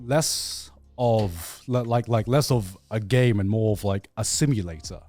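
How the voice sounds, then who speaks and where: A man co-hosting a casual gaming podcast, sweary and halting, often repeating words as he gets a thought out. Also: lowish voice, mellow, soft background music. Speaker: podcast co-host.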